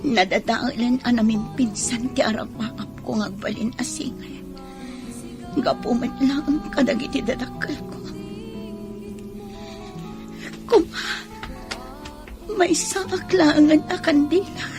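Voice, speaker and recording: A woman's voice: high voice, a little slow, over music. A woman acting a sorrowful, obedient daughter in an emotional Ilocano radio drama. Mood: tearful despair. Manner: emotional, lamenting. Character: sorrowful obedient daughter whose dream is ruined.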